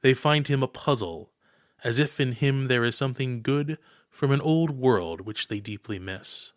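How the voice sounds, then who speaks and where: Guy narrating a podcast essay, formal and oratorical, deliberate pauses, really dry sound. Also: mid-range voice, dry recording. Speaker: podcast host.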